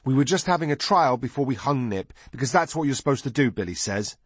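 A man's voice: medium pitch, expressive, fast, breathy edge, no room sound, pitched above the performer's own voice. A man voicing eager, squabbling young boys with childlike, unschooled speech in an audiobook story. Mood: proud, eager. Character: eager, squabbling young boys, twin brothers, bloodthirsty and righteous.

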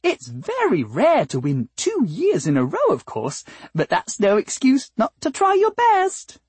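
A woman voicing a female alien rose bush in an audiobook, with a breathy, sweet voice and a polite, wheedling, nervous manner. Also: on the high side, huge pitch swings, a little slow, a little mellow, no room sound, voice pushed up, heavily acted. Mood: chirpy, nervous.

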